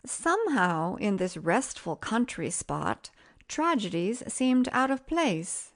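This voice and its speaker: A woman reading a literary story as an audiobook narrator, measured and expressive. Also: on the high side.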